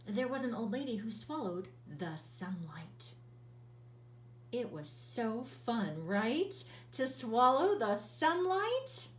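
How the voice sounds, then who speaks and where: Lively sing-song woman reading aloud. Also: a little high, roomy sound, recorded from a distance, a little hiss or hum. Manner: lively, sing-song storytelling with drawn-out words. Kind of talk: expressive children's read-aloud.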